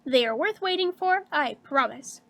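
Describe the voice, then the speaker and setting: A woman streaming casually, chatting with viewers and laughing often, with a slightly hoarse voice. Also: high-pitched, lively intonation, normal speed, a bit bright. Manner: bubbly and chatty, laughs often, many fillers like "um" and "like". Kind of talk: casual stream chat reading out viewer messages.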